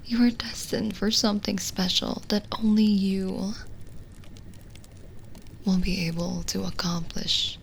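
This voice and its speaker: A woman playing a lonely, gentle witch in an ASMR roleplay, speaking softly and soothingly, often slipping into a whisper. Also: medium pitch, little pitch movement, vocal fry, slight background noise. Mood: gentle, earnest. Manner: slow, hushed, soothing, with pauses. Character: lonely, gentle witch reading a guest's palm. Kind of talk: soft-spoken ASMR roleplay, partly whispered.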